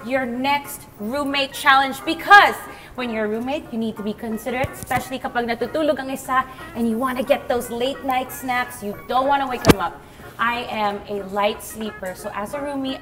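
A woman hosting a playful casual chat on a decorated studio set, bubbly and giggly. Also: on the high side. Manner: bubbly, giggly, switches into Filipino words. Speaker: host.

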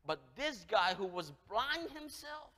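A man in his thirties with a Cambodian accent, preaching a lively sermon with big swings from loud calls to soft words. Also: extremely high pitch, measured pace. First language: Khmer. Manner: animated, gesturing, swings from loud exclamation to soft. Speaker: pastor. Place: church sanctuary, large, indoors. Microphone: headset. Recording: long hall reverb.

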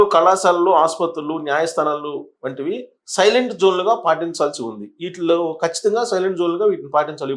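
A man giving explanatory news commentary, partly reading aloud, addressing viewers didactically and sometimes stumbling over and repeating words. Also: sits high.

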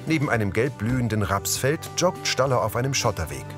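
A man's voice: mid-range voice, lively intonation, fairly quick, over music. A man reading scripted audio description, narrating the scenes of a drama.

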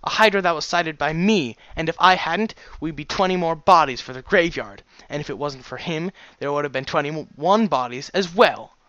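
Man voicing a fiery, shouting young mare, pitched high. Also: normal speed, few pauses, dry recording, highs cut off. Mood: indignant. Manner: shouting, indignant, biting. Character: fiery, defiant young mare, furious grown daughter.

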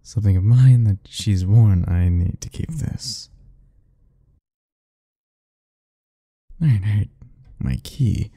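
A man voicing a doting, possessive yandere admirer in soft, husky, intimate murmurs for an ASMR romance roleplay. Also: fairly low pitch, no room sound, studio quality. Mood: conflicted, yearning. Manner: slow, intimate murmuring, coaxing and self-talking.

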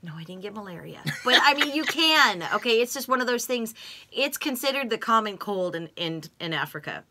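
Expressive, bright-voiced American woman. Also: fairly high pitch, a little fast. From United States. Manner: expressive, gestures with hands, emphatic repetitions. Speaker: interviewee, co-host. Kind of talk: casual conversation. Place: small home room with bookshelves, indoors. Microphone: desk microphone on stand.

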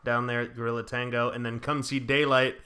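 A male podcast co-host chats casually. His voice sits at a middle pitch with a bright, crisp edge to it. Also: level tone, a little fast.

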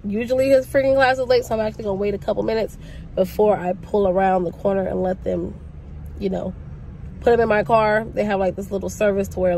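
A woman's voice: medium pitch, slight background noise. A young woman with a congested, sick-sounding voice, chatting to her phone camera from inside a parked car in a rambling, casual vlog. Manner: chatty, rambling, lively slang. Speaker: vlogger. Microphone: phone camera at arm's length.

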